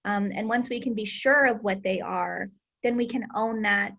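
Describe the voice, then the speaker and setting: Woman, mid pitch, earnest, emphatic, reflective, casual discussion host. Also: moderate pace, creaky, fairly bright tone, lo-fi sound.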